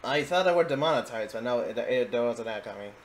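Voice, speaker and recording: A man's voice: medium pitch, lively intonation, fast. Casual man giving hesitant reaction commentary. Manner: hesitant, rambling, chuckling asides. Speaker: reaction video host. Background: faint game music.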